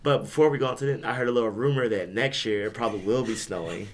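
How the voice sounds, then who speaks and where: A young man hosting a casual podcast, hoarse from losing his voice, with laid-back, self-deprecating banter. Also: medium pitch, quick pace, a little hiss or hum. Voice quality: raspy. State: hoarse, lost voice. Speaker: podcast host.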